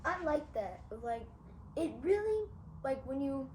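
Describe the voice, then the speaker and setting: A child of about ten asking prepared interview questions and reacting in an earnest, halting way. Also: sits high, sing-song, a little mellow. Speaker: student interviewer. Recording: echoey, distant mic, noisy room.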